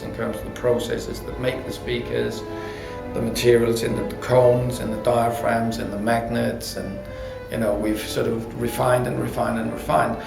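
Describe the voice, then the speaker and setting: A man's voice: medium pitch, creaky. A man explaining his design work in an unscripted interview, reflective and hesitant, often rephrasing mid-sentence. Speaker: audio company designer being interviewed. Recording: roomy echo with music behind.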